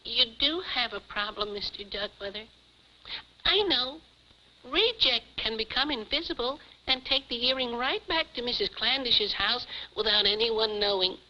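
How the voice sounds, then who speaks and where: Piercing, chirpy little alien puppet. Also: male voice, extremely high pitch, normal speed, pushed and bright, slight background noise, full character voice. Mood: helpful. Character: chirpy, helpful little Jupiterian alien puppet, friendly and polite. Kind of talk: 1950s TV puppet show acting. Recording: muffled 1950s television soundtrack.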